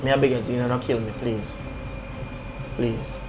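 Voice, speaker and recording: A man's voice: high voice, animated, fairly quick, gravelly, music in the background. A man with a Jamaican accent playing a timid young man who stammers and pleads in patois. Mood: begging.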